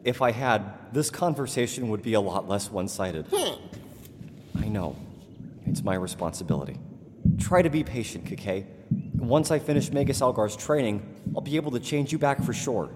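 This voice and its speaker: A man's voice: on the low side, expressive, normal speed, background noise, lightly acted. An actor playing a young male wizard apprentice, exasperated and sarcastic, in a fantasy audio drama. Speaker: Udo. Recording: long reverb with music behind.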